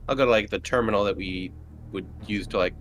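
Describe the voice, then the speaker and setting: A man's voice: fairly low pitch, fast talker, a bit bright. A man chatting casually as a player in a tabletop roleplaying game, describing what his character does.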